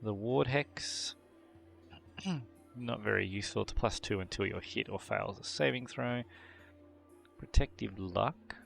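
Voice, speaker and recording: Male game host, low and gravelly, musing through options with ums and throat clears, faint music underneath. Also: unhurried, long pauses, fairly bright tone. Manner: thinking aloud with many fillers. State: voice a bit worn from a long previous session. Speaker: gameplay channel host. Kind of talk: casual gameplay commentary.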